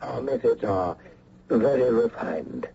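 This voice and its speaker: An actor playing a menacing foreign spy in a radio mystery, with formal, deliberate, mockingly courteous speech. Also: male voice, medium pitch, big pitch swings, vocal fry, mellow, full character voice. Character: cold, menacing male foreign spy with a gun. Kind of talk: old-time radio mystery acting. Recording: noisy, muffled old radio recording.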